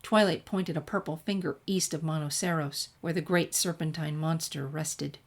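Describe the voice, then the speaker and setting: A woman reading fan fiction aloud in expressive, scripted story narration. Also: low voice, normal speed.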